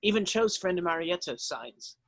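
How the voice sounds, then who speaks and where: A woman's voice: lowish voice, animated, normal speed, a bit bright, no room sound. A woman narrating stage directions from a script.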